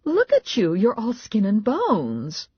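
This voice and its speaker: A strident, exasperated mother, voiced by a woman narrator, middle-aged and quick to fuss. The voice climbs high with wide swoops in a comic audiobook story. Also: huge pitch swings, breathy edge, no room sound, dull audio, full character voice. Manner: strident, scolding.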